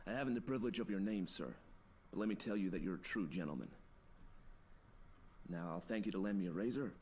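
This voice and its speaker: A man playing a wary, courteous fugitive in a visual novel, speaking in a measured, old-fashioned, formal way. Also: low voice, a little mellow, a little hiss or hum, pitched below the performer's own voice, close to an everyday voice. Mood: courteous, self-assured.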